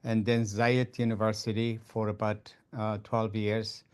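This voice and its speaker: An older man, a retired architect and professor, introduces himself in an online meeting. He speaks in a measured, plain way. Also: on the low side, flat delivery, a little mellow, clear, resonant tone. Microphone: video call.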